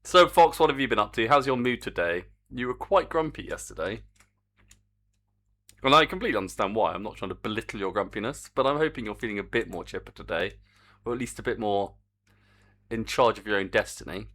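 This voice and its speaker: A man's voice: on the low side, lively intonation, a little fast, fairly bright tone. A man streaming his game development work, chatting to viewers in a rambling, self-mocking way. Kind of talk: casual live-stream commentary while coding.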